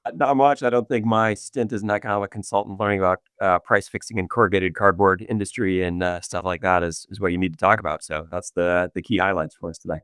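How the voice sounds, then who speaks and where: Fast-talking low-voiced male executive. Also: clear, resonant tone, dry recording, clean studio sound. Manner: fast, animated explanations with many restarts. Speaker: guest, revenue operations executive. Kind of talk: casual interview chat.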